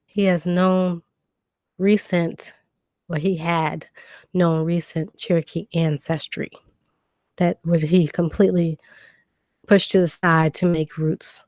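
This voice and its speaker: A woman hosts a casual livestream, her voice a bit low and hoarse from a cold. She reads out viewer comments and answers them in a loose, conversational way, filling pauses with ums. The sound is dry and close, with no room echo. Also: level tone, darkish tone. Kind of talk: casual live stream chat.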